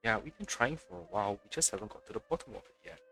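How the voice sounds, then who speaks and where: A man's voice: lowish voice, expressive, rapid-fire, pushed and bright, soft background music. A man streaming a video game, giving casual commentary and reading bits of text aloud.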